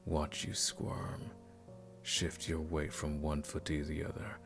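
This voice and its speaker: Man playing a very deep, seductive male vampire. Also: vocal fry, music in the background, heavily acted. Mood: menacing, playful. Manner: formal, slow, seductive, menacing. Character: suave, predatory aristocratic male vampire, teasing and condescending. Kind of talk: ASMR roleplay, intimate scripted monologue.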